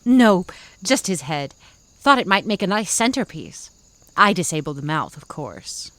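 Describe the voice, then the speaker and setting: A woman's voice: lowish voice, sing-song, measured pace, fairly bright tone, a little hiss or hum. Frank, wry adventurer woman played by an actress. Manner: direct, dry. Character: frank, wry, tough-minded female adventurer. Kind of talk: audio drama dialogue.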